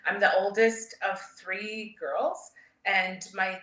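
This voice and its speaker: A woman's voice: medium pitch, fairly even intonation, takes it slowly, fairly bright tone. A woman from Canada, born in El Salvador, giving an informal keynote with personal stories in a candid, chatty manner. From grew up in Edmonton, Canada; born in El Salvador. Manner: candid, chatty, often chuckling. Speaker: keynote speaker, startup founder. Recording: roomy, echoing sound.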